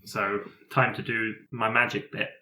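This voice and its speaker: A man playing a tabletop roleplaying game, describing character actions in a jokey, halting, improvised way. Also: fairly low pitch, little pitch movement, pushed and bright, slight background noise. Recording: echoey room.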